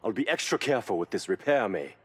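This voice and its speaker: An actor playing an earnest, admiring car mechanic in a video game. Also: male voice, on the low side, big pitch swings, quick pace, husky. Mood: admiring, thoughtful. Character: Yuji, earnest, reverent male car mechanic. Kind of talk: video game cutscene voice acting.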